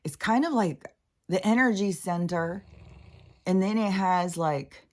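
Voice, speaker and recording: A woman's voice: medium pitch, unhurried, darkish tone. A woman with a scratchy voice, hosting her own spiritual channel in a loose, rambling monologue that drifts off mid-thought and swears freely.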